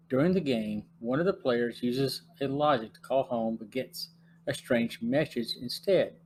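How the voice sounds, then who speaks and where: A podcast host reading a measured plot summary from notes. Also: male voice, medium pitch, slight background noise, low-quality recording.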